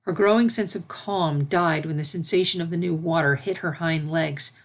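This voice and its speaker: A woman narrates fiction from a script. Her voice sits a little on the low side, and she reads as a storyteller. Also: moderate pace. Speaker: fan fiction reader and narrator.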